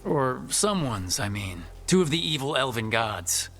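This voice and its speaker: Steady, resolute hero. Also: male voice, mid-range voice, measured pace, faint music behind. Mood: frank, steady. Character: steady, resolute young male adventurer, team leader. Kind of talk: video game dialogue acting.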